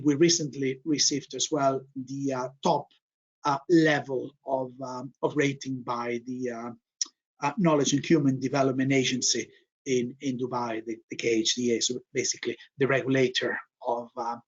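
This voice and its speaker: Spanish-accented man presenting, husky and muffled. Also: mid-range voice. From Spain. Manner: frequent fillers and repeated words, emphatic triple repetitions. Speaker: MBA programme director. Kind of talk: online information session presentation.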